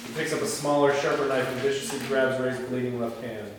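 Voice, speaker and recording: A man's voice: mid-range voice, steady tone, a little fast. A man at a film table read, reading out screenplay directions and talking casually with the crew. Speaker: stage-direction reader and film crew member. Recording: echoey hall, distant mic, faint noise.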